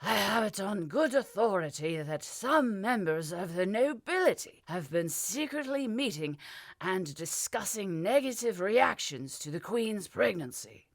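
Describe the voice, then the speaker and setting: A woman voicing a scheming male royal adviser who speaks in smooth, formal deference. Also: mid-range voice, big pitch swings, unhurried, no room sound. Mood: deferential.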